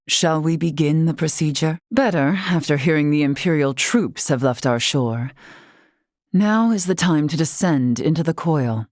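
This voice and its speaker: धीमे सुर वाली गंभीर महिला मुखिया. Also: female voice, sits low, darkish tone, dry recording, studio quality. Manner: formal, archaic, measured phrasing. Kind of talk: video game character acting.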